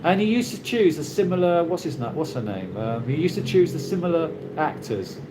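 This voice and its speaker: Chatty British man, lively and rambling. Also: fairly high pitch. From Britain. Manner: rambling, halting, with many restarts. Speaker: livestream host reading viewer comments. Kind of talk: casual live chat. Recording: noisy ambient background.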